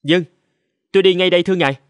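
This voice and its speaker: An actor playing a humble but clever young slave in a cartoon folk tale, deferential and articulate. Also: male voice, high-pitched, very fast, clean tone, dry recording, lightly acted. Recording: music behind the voice.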